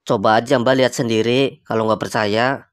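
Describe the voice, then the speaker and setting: A man's voice: medium pitch, fairly even intonation, normal speed, clear, resonant tone, no room sound, studio quality, natural voice. A man with a Jakarta accent playing a spooked young hotel guest in a cartoon horror comedy. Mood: polite, casual. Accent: Jakarta Indonesian. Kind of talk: animated horror-comedy voice acting.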